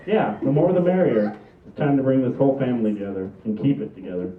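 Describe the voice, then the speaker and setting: A man's voice: mid-range voice, animated, quick pace, natural voice. Male actor as dry, sincere family man holding everyone together. Thin, phone-like, echoey sound. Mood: earnest, decisive. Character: exasperated, dutiful adult son holding his family together. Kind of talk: comedy table read. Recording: echoey, distant, thin phone-like room recording.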